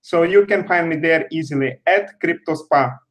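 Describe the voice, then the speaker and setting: Guest, man, 30s, higher-pitched, measured and hesitant. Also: fairly bright tone. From Bulgaria. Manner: careful, hesitant with many pauses. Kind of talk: interview answers.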